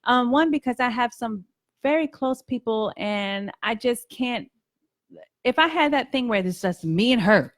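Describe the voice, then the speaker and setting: A woman's voice: on the high side, animated, unhurried, no echo, clean studio sound. A woman hosting a radio talk show, chatting candidly and earnestly and repeating phrases for emphasis. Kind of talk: candid casual chat. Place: radio studio.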